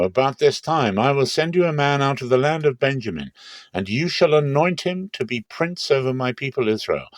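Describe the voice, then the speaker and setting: A man's voice: mid-range voice, no room sound, voice pushed up, close to an everyday voice. A man voicing God as a solemn, commanding deity proclaiming in a dramatic scripture reading.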